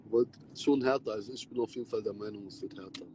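A man's voice: on the low side, fast talker. A man, chatting casually on a phone livestream from the back of a taxi in slangy, laid-back talk. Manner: laid-back slangy talk, drifting between loud and mumbled. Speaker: rapper hosting a livestream. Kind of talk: casual livestream chat with fans. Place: back seat of a taxi at night. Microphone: phone held close, front camera. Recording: phone audio with car noise.

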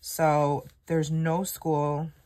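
A woman's voice: low-pitched, level tone, drawn-out words, mellow. A woman in her thirties with a slightly scratchy voice, chatting casually while she plans a notebook spread at her desk in a home craft room. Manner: chatty, self-deprecating, rambling. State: scratchy voice from illness. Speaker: planner vlogger. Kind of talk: casual chat while planning. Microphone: overhead camera, hands only in frame.

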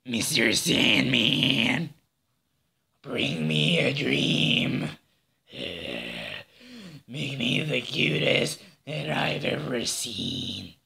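Creepy insect monster villain from anime, deep creaky slow croon. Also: male voice, big pitch swings, bright, forceful tone, exaggerated voice. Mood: sinister, playful.

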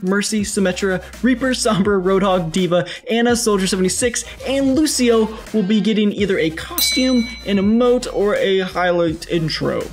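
High-voiced young man hosting gaming news. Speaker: gaming news video host. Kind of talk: upbeat scripted news narration for gamers. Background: faint music.

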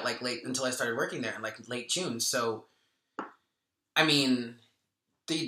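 Bright-voiced chatty man in his thirties. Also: mid-range voice. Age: 30s. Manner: chatty, laughs often, rambling restarts. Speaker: spiritual channel host. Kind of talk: casual vlog talk to camera. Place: small bedroom or home room, indoors. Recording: echoey room.

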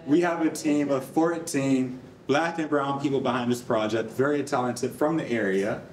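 A young man hosting a live event, talking to an audience in an animated, conversational and inviting way. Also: medium pitch, moderate pace, slight background noise. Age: young adult. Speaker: event host. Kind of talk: informal live introduction to an audience. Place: indoor rehearsal hall in front of an audience. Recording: echoey room with audience reactions.

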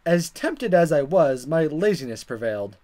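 A woman's voice: sits low, sing-song, moderate pace, mellow. A woman hosting a let's play, reading dialogue aloud dramatically and commenting in a playful, exaggerated way. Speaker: gaming video host.

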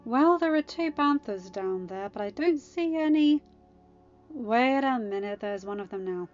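A woman voicing an eager, impulsive teenage farm boy in an earnest, excitable manner for a story reading. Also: a little high, unhurried. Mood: alert, curious. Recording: dull lo-fi recording with music behind.

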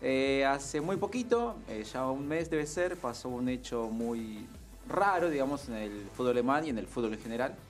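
Hombre contando una historia en la radio. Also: male voice, medium pitch, lively intonation, moderate pace, faint music behind. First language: not Spanish. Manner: storytelling, many fillers and tag questions. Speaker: sports columnist. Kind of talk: casual radio chat. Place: small radio studio. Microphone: studio mic on boom arm, headphones.

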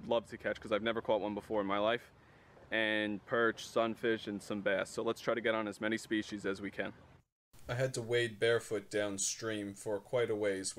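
A young man in his twenties from Long Island, New York, chatting casually as he narrates a freshwater fishing vlog outdoors, recorded on an action camera. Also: lowish voice. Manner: relaxed, chatty commentary while fishing. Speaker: fishing vlogger. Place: outdoors by a pond and stream. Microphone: action camera mic. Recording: outdoor camera audio with clear background noise.

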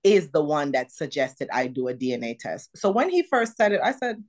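A middle-aged woman from Jamaica with a mild Jamaican accent, telling her story animatedly as a guest on a video call interview. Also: brisk. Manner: animated, jumps high to act out her own past words. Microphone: video call webcam.

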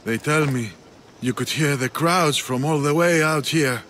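Skura, a brooding arena master. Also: male voice, a little high, a little slow, a little hiss or hum. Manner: hushed, brooding, theatrical. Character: brooding male arena master, weary and grand. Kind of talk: video game cutscene acting.

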